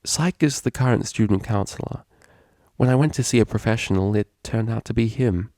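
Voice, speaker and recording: A man's voice: on the low side, fairly quick, dark tone, no room sound, studio quality, natural voice. A man voicing an anxious, proper female pony cellist in a story, polite and careful in speech. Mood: guilty, pleading.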